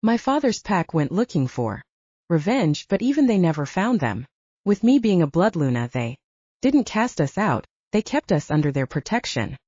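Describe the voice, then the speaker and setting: A woman as young female werewolf soldier, swooping pitch. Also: sing-song, moderate pace, darkish tone, husky, no echo. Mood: candid, wry. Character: young female werewolf soldier, candid, self-mocking, chatty. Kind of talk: audiobook character dialogue. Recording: dull, lo-fi sound.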